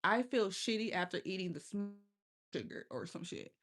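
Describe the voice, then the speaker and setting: A woman's voice: mid-range voice, big pitch swings, moderate pace, fairly bright tone. A woman with a lisp, hosting a casual livestream. She chats loosely with her viewers, swears freely and often laughs. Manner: loose, profane and laughing, talks straight to chat. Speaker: livestream host.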